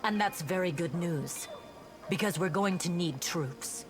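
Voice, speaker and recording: An actress playing an earnest, dutiful female paladin officer in a fantasy game, speaking in a formal, measured reporting manner. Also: on the low side, lightly acted. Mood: formal, composed. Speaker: game character reporting to a commander. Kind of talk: voice-acted fantasy game dialogue. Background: music.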